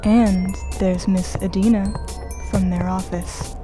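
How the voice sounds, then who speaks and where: A woman plays an insinuating female game guide in an audio drama. She narrates slowly and calmly in a medium pitch, coaxing the listener, with music underneath. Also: natural voice. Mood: soothing menace. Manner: measured, coaxing. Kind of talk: scripted second-person narration in audio drama.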